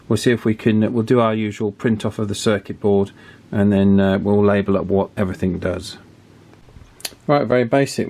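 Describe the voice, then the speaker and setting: A man's voice: lowish voice, a little mellow. A man talking informally and thinking aloud as he gives a casual, hands-on technical commentary. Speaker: electronics hobbyist presenting a repair video.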